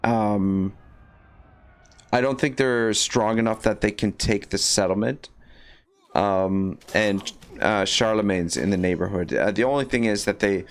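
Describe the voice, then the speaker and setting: Gravelly man with a scratchy voice. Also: fairly low pitch, steady tone, measured pace. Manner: rambling, many fillers and restarts. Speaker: livestream gamer. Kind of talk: casual livestream commentary.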